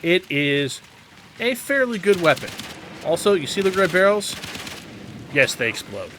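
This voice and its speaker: Animated man explaining a video game. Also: high voice, measured pace. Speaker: video game guide host. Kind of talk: casual instructional gameplay commentary. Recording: clear background game noise. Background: video game ambience.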